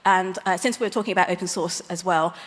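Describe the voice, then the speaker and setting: A woman's voice: lowish voice, fast talker. A woman lawyer giving a conference talk, explaining legal ideas plainly with light, self-aware humor. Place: large conference hall stage. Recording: long reverb of a big hall.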